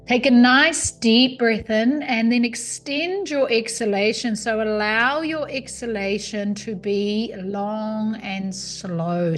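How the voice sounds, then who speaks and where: A woman leading a guided yoga nidra meditation in a calm, soothing, unhurried way. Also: mid-range voice. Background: music.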